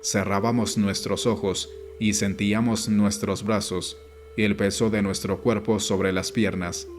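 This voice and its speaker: A man reading an audiobook as scripted narration, in a measured, instructive way. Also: fairly low pitch. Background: background music.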